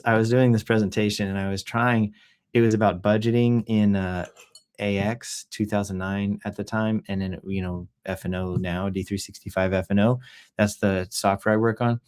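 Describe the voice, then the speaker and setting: A middle-aged man hosting a livestream, talking casually and jokily in an animated, rambling, off-the-cuff way with rhetorical questions. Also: lowish voice. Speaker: host of a livestream news show. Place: office.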